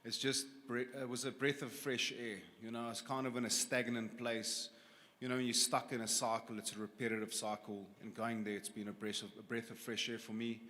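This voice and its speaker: A man's voice: medium pitch, flat delivery, a little fast, pushed and bright. A confident man in his forties from South Africa, answering questions as a fighter at a press conference. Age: 40s. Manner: confident, plain-spoken. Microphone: handheld microphone. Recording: long hall reverb.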